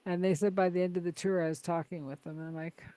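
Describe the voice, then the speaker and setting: A woman's voice: lowish voice, steady tone, fast talker, mellow, no room sound. A woman hosting a podcast from Canada, chatty and laughing often, full of "like" and "right?". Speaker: podcast host. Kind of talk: casual conversation.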